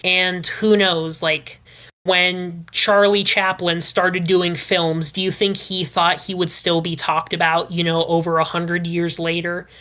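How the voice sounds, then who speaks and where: A woman's voice: lowish voice, little pitch movement, unhurried, fairly bright tone. A woman chatting casually as a podcast panelist, speaking in an earnest, encouraging manner. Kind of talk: casual group chat.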